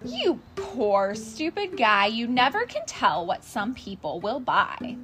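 Lady reading as a greedy pushy salesman guy in a kids book, emphatic, really lively pitch, music behind. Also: slow pace, heavily acted. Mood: mocking. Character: eager, self-justifying greedy male inventor and salesman.

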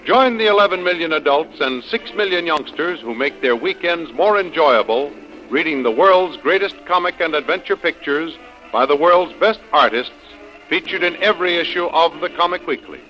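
Higher-pitched vintage radio announcer man, lo-fi. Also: expressive, moderate pace, sharp tone, faint music behind. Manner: declamatory, formal. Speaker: announcer and narrator. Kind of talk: old-time radio serial narration, scripted announcing. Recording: dull lo-fi vintage radio recording.

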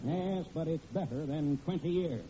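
A man's voice: a little high, normal speed, natural voice. An actor playing a hearty, overbearing middle-aged American father of the bride in 1940s radio comedy style. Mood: pressing. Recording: muffled, faintly noisy old radio recording.

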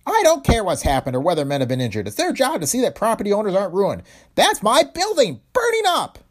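A man voicing a small, furious older male factory owner with a shrill, high-pitched ranting voice. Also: very animated, normal speed, heavily acted.

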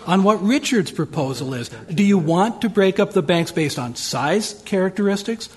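A man's voice: high voice, expressive, moderate pace. A man speaking as a debate panelist, probing the other side's proposal with pointed questions. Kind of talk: debate argument.

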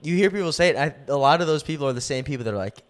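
A man's voice: a little high, lively intonation, very fast. A young man co-hosting a podcast in casual chat, joking and laughing a lot, slipping into mock voices. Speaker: podcast co-host.

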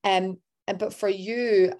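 A woman in her forties hosts a podcast with an enthusiastic, affirming manner toward her guest. Her voice sits at an average pitch for a woman. She keeps the talk casual and conversational. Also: fairly even intonation, slow, a little mellow. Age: 40s. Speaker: podcast host. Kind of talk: casual interview.

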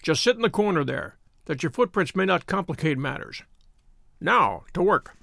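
A man voicing a crisp, confident detective. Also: a little high, animated, moderate pace, fairly bright tone, dry recording. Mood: brisk lecturing. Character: cool, brilliant male detective, lecturing.

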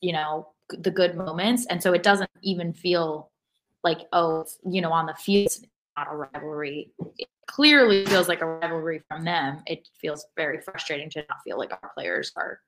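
Female sports podcast co-host, lower-pitched, measured and earnest in casual discussion. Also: a bit bright, poor audio.

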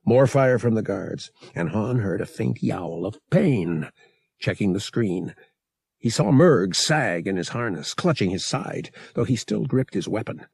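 Man narrating adventure fiction with sweeping pitch. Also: lively intonation, measured pace, creaky, darkish tone, husky, dry recording, studio quality. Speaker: audiobook narrator. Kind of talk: dramatic scripted narration of an adventure novel.

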